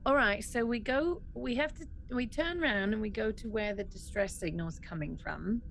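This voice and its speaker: A woman's voice: a little high, moderate pace, vocal fry, fairly bright tone, a little hiss or hum. A woman playing a tabletop role-playing game, chatting casually and thinking aloud in a hesitant way. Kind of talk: casual, improvised game chat.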